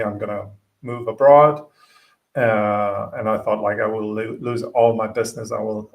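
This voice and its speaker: Finnish-born guy, swedish native, kinda low voice, talks in stops and starts, sits back from the mic. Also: far from the mic. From Finland. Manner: halting, many fillers and restarts. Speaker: podcast guest, gym owner. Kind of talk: casual interview chat.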